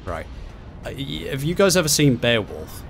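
Lively, joking man chatting while gaming. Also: mid-range voice, moderate pace, vocal fry. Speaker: gamer in a co-op playthrough. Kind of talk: casual chat while gaming. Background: game music.

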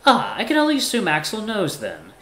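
A man voicing a refined male boss in a story, speaking in a polite, measured, formal way. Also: sits high, huge pitch swings, a bit bright, roomy sound, voice pushed up, heavily acted.

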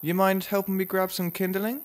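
An actor playing a proud, assured husband with a deliberate manner in a radio drama. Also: male voice, sits high, level tone, close to an everyday voice. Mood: affable, boastful. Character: Charles, proud record producer husband with menacing streak. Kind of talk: naturalistic radio drama dialogue.

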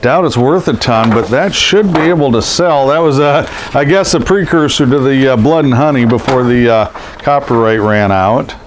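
A man's voice: mid-range voice, moderate pace. Middle-aged man, scratchy and congested from a cold, loose chatty delivery with filler words. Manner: rambling, lots of ums and uhs. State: stuffed up from a head cold. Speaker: collectibles seller. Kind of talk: casual show-and-tell narration. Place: indoors over boxes of posters.

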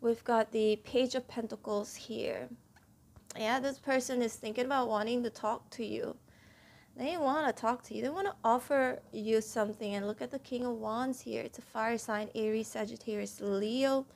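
A woman's voice: a little high, fairly even intonation, moderate pace, creaky. Woman, tarot reader, slightly stuffy voice, chatty, keeps saying "okay?", indoors at home table. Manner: chatty, repeats "okay?" to viewers, stresses key words. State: slightly stuffy, clears throat. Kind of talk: casual tarot reading to camera.

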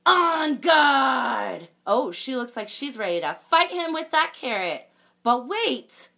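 A woman in her thirties reading a picture book aloud to children, expressive, with chatty asides to young listeners. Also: a little high, measured pace. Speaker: library story time presenter.

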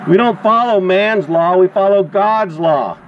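A man's voice: sits high, measured pace. A man doing open-air protest preaching through a megaphone, exhorting and declamatory like a street preacher. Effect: megaphone amplification. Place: outdoors on grass beside a sidewalk and parking lot. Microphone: action camera beside the megaphone. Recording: dull outdoor recording with traffic noise.

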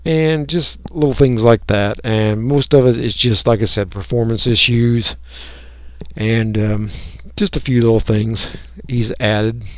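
An American man giving a casual, unscripted software walkthrough, folksy and rambling as he thinks aloud. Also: mid-range voice, steady tone, a little slow, no echo. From United States. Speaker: radio hobbyist giving a software tutorial.

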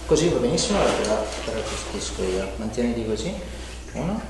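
A man's voice: on the low side, sing-song, fast. A man in his thirties talking a patient through hands-on treatment as a chiropractor, reassuring and instructional. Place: indoor treatment room in a home-like studio. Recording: echoey room with clear background noise.

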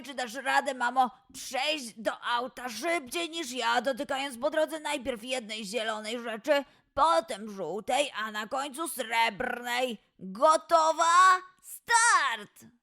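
Woman acting a young male lion cub, children's audio play, bright forceful tone, slow delivery, playful, excitable. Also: high voice, soft background music, heavily acted. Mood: determined.

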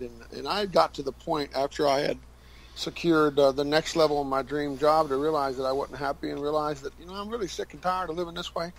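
Kentucky man in his forties, radio guest, earnest and halting with a slightly high voice. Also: poor audio. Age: 40s. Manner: earnest, halting, many fillers and restarts. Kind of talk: personal story in a radio interview.

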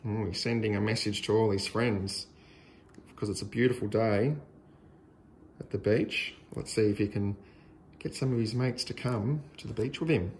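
An Australian man reading a picture book aloud to young children in a deliberate, sing-song way with exaggerated emphasis. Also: lowish voice, fairly even intonation, darkish tone, a little hiss or hum.

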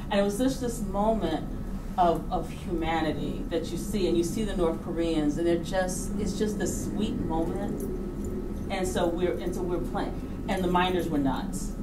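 A middle-aged woman telling anecdotes casually on stage into a handheld microphone, animated and informal, acting out reactions. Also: lowish voice. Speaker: documentary filmmaker, guest speaker. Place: auditorium stage before an audience. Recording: roomy, distant hall sound with background noise.

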